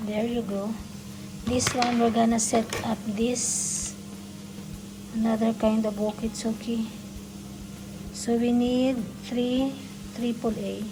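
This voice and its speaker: A woman's voice: on the high side, flat delivery, slow pace, pauses often, noisy background. A Filipino-accented woman, native in Tagalog, giving a casual product demonstration, talking through each step in short fragments. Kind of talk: casual unboxing and testing demonstration.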